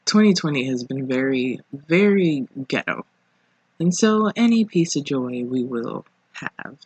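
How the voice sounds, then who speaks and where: A podcast host speaking casually alone, in an informal, reflective way with pauses between phrases. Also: medium pitch, expressive, slightly rough, no echo, dull audio. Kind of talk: casual solo podcast monologue. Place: living room at home.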